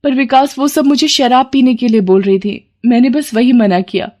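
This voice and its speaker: Woman playing gentle dutiful young daughter-in-law. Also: a little high, fairly even intonation, normal speed, natural voice. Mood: pleading. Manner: meek, polite, soothing.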